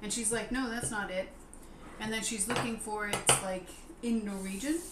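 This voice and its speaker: An American woman in her forties chatting casually as co-host, talking haltingly with fillers and poking fun at herself. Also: medium pitch, fairly even intonation. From United States. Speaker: co-host of a live stream. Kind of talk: casual chat. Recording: echoey room with noise behind.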